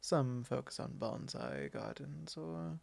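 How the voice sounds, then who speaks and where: A man's voice: a little high, very animated, creaky, heavily acted. A man playing a possessive, courtly vampire lord in an ASMR roleplay, speaking formally and condescendingly in an intimate monologue. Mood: genteel, indulgent. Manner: formal, unhurried, condescending, with soft chuckles.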